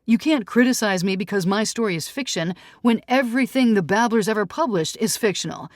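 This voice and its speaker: Woman voicing an earnest, defensive man, pitched up. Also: lively intonation, fairly quick, no room sound, studio quality, close to an everyday voice. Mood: indignant, protesting. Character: defensive, pleading male skeptic writer. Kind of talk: audiobook character dialogue.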